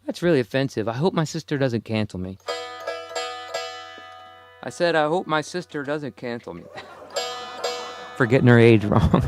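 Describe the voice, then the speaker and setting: A man in his forties hosting a solo podcast, rambling casually and chuckling to himself between thoughts. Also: medium pitch. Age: 40s. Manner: rambling, self-amused, chuckles often. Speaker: podcast host.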